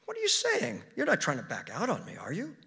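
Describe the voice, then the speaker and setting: A man reading a story, voicing a demanding, sharp-tongued wife with an emphatic, incredulous manner. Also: a little high, sing-song, fairly quick, husky, exaggerated voice. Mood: exasperated. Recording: reverberant hall with long echo.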